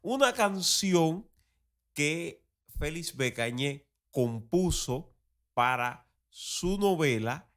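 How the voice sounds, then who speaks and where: A man with a Cuban accent hosting an explanatory history talk, phrasing things in a deliberate, didactic, emphatic way. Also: fairly high pitch, expressive, a bit bright. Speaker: podcast host.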